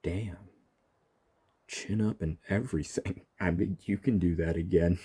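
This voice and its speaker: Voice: low-pitched, fairly even intonation, a little slow, dark tone, a little hiss or hum. A gamer giving casual reaction commentary on a game story, bantering in a teasing, exasperated way.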